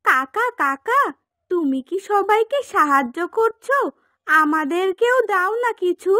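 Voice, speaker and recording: A woman voicing a sweet, eager, squeaky little village child in a children's cartoon. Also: lively intonation, a little slow, bright, forceful tone, no echo, studio quality, full character voice.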